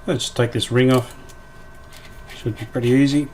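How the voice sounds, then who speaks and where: A man giving casual hands-on restoration commentary, thinking aloud in a tentative, unhurried way. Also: mid-range voice, steady tone, noisy background. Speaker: radio restorer presenting a repair series.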